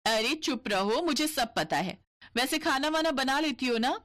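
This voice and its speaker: A woman's voice: sits high, lively intonation, a little slow, a bit bright, voice pushed up. A woman voicing a jealous, haughty and bossy elder sister-in-law in a cartoon story. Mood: taunting, bossy. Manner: taunting, bossy, cackling.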